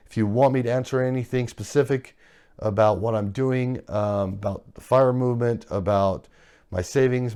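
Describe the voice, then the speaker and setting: A man with a hoarse, failing voice, rambling casually through a personal finance update from his room. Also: mid-range voice, dark tone. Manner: rambling, loose, filler-heavy. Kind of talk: casual monthly update talk.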